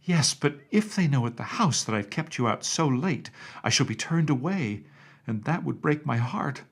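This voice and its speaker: A man's voice: mid-range voice, expressive, normal speed, breathy edge, pitched above the performer's own voice. A man voicing an anxious, indignant nursemaid woman, fretful and scolding, in a storybook reading.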